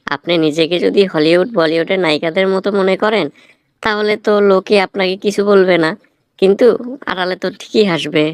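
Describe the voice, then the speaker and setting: A man's voice: sits high, fairly even intonation, fairly quick, smooth, dry recording, close to an everyday voice. A man voicing a blunt, teasing film writer who lectures sardonically in a Bangladeshi accent, in a comic cartoon. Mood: blunt, mocking.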